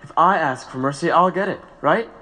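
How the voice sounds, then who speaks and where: An actor playing a principled condemned prisoner who refuses to ask for mercy, firm and commanding. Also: male voice, a little high, brisk, pushed and bright. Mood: resolute. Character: principled condemned male radical, stubborn.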